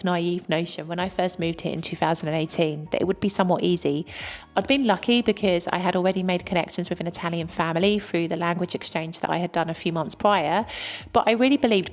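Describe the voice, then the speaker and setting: Earnest British woman with a low voice. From Britain. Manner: earnest, candid, talks in long flowing sentences. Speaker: vlogger living abroad. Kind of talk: casual talk to camera. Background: faint background music.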